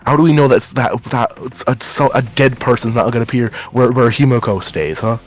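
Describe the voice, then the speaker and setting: A man's voice: medium pitch, fairly quick, faint music behind, natural voice. A man playing an angry, distraught, foul-mouthed demon slayer in an improvised anime roleplay, stammering and repeating words. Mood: agitated, accusing.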